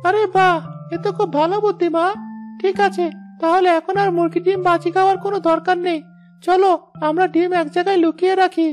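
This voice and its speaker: A woman's voice: very high-pitched, level tone, fairly quick, darkish tone, soft background music. A woman voicing an earnest, caring schoolboy from a poor village in a moral story cartoon. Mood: keen. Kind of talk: scripted children's moral story cartoon dubbing.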